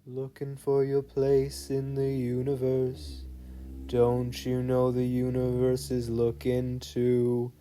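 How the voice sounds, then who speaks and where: Narrator as a slow-singing older great-uncle. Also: medium pitch, monotone, dark tone, slight background noise, lightly acted. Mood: solemn, lilting. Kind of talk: slow half-sung delivery.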